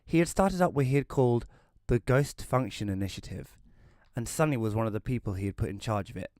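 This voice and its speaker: A man's voice: medium pitch, fairly quick, darkish tone, no room sound, clean studio sound, lightly acted. A man playing a casual, wry first-person narrator in a scripted audio drama. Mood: wry, matter-of-fact. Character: Rory, casual storyteller befriending a ghost.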